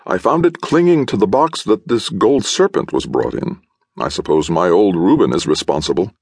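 A reckless young artist sneers and crows over his find in a voice pitched above the reader's own, rough at the edges and swinging widely. A man acts him out for a storybook reading. The sound is close and dry. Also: lively intonation, moderate pace, a little mellow, no echo, heavily acted. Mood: amused. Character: brash young male artist, mocking and reckless.

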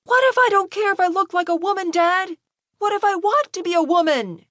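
A woman's voice: sits high, animated, normal speed, no echo, clean studio sound, voice pushed up. A woman voicing an anguished, emotional young man pleading with and defying parents in an audiobook story. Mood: defiant anger.

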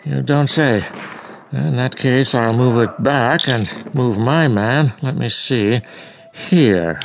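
Mild absent-minded professor, medium pitch. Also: male voice, slow, vocal fry, music in the background, rough recording. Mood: distracted. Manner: genial, distracted. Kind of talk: radio drama acting.

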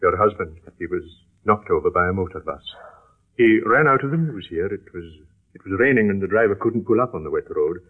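An actor playing a kindly, grave doctor in a radio drama, speaking in a solemn, halting, formal way. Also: male voice, low-pitched, lively intonation, slightly rough. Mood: grave, sympathetic. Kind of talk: early radio drama acting. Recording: tinny, band-limited old radio recording.

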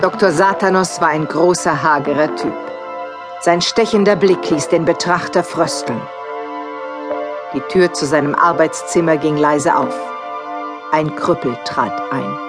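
An actress narrating a suspenseful horror tale in an ominous scripted storytelling manner. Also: female voice, low voice, a little slow. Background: music.

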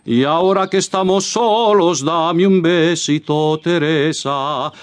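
Agustin, high-voiced slow singer. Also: male voice, soft background music. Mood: obliging, tender. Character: Agustin, obedient singer serenading his general. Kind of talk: sung folk song in audiobook drama.

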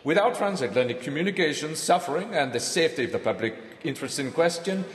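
An actor playing a learned professor in an audio drama, answering questions in a halting, emphatic, lecturing way. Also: male voice, fairly high pitch, expressive, natural voice. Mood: hesitant, skeptical. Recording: echoey hall with long reverb.

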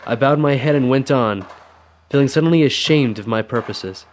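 An actor playing a restless, brooding young Civil War recruit in a radio drama, earnest in manner, with an American accent. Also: male voice, medium pitch, normal speed, slight background noise, natural voice. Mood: reflective. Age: young adult. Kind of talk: radio drama acting and first-person narration.

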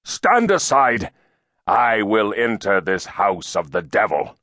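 A man voicing a demon in the body of a stern Puritan elder, loudly and pompously denouncing as commander and accuser. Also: fairly high pitch, animated, no echo, clean studio sound, voice pushed up. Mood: furious, commanding. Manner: loud, slow, pompous denunciation.

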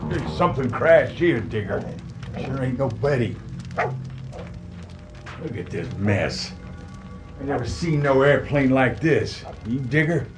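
An actor playing a cranky, folksy old hermit with a rural American accent in an audio drama, grumbling and cussing. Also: male voice, medium pitch, lively intonation, measured pace, long pauses, over music, close to an everyday voice. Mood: grumbling, irritated. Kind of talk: full-cast audio drama acting.

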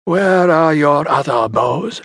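A man's voice: fairly high pitch, lively intonation, slow pace, a bit bright, no echo, studio quality, pitched above the performer's own voice, full character voice. A man doing a cold, ruthless bargainer.

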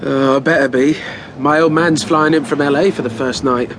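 A man's voice: a little high, steady tone, normal speed, background noise, close to an everyday voice. A man playing an eager, modest young understudy in a radio drama, chatting with his fellow actors. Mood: nervously pleased.

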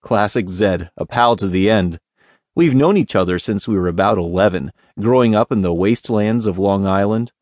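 A man's voice: on the low side, moderate pace, darkish tone, no echo, close to an everyday voice. An American man playing a lonely, self-deprecating zombie who tells his story wryly in an audiobook. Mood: wry, reminiscing. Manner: wry, casual, self-deprecating. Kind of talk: first-person audiobook character narration.

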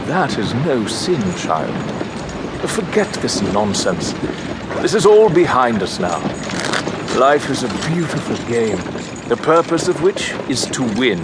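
An actor playing an arrogant wealthy gentleman who speaks slowly and grandly, commanding his servants. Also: medium pitch, heavy background noise. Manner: slow, imperious, grandly savoring. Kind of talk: audio horror drama.

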